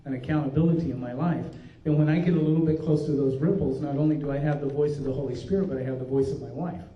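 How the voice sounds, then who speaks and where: An older man, a pastor, giving an earnest, folksy workshop talk with frequent restarts. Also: medium pitch. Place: conference room. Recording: dull, roomy recording from a distance.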